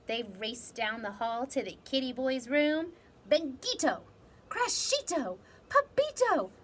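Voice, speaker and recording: Slow, higher-voiced woman reading a storybook. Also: a little hiss or hum. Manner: animated, dramatic delivery with measured phrasing. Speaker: storybook reader. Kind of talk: expressive children's storybook read-aloud.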